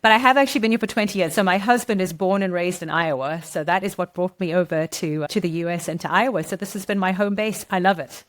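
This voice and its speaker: A woman's voice: lowish voice, fast, hardly any pauses. A middle-aged woman with a South African accent, a guest on a podcast interview, explaining things at length in a conversational way. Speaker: guest, marketing director.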